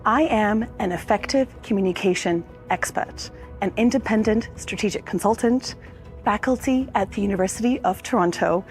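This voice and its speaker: A woman speaker, a native Russian speaker, giving a prepared stage talk in a playful, expressive manner with rhetorical questions. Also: mid-range voice, moderate pace. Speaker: conference speaker. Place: lecture hall stage. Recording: reverberant hall with faint music.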